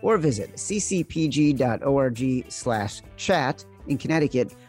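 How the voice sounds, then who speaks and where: A man's voice: medium pitch, animated, normal speed, a little mellow, soft background music. A man, a podcast host, reading a scripted sponsor advertisement with its terms and disclaimers. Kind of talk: scripted ad read.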